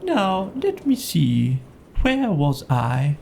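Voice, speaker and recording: A learned old male owl from a bedtime story , voiced by a man, holds forth pompously. The voice is raised in pitch and deliberate in delivery, very pleased with its own wisdom. Background ambience is audible. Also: huge pitch swings, creaky, darkish tone, slight background noise, exaggerated voice. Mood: self-satisfied.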